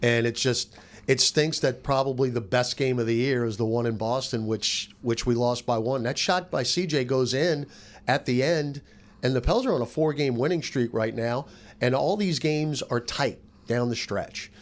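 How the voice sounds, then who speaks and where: A man's voice: medium pitch, moderate pace. A man who works as a play-by-play announcer, giving casual, emphatic sports commentary in a roundtable chat.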